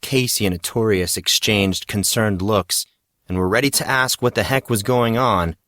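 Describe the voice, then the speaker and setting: A man's voice: lowish voice, normal speed, fairly bright tone, no echo, studio quality. A man reading scripted fiction as the narrator of a story.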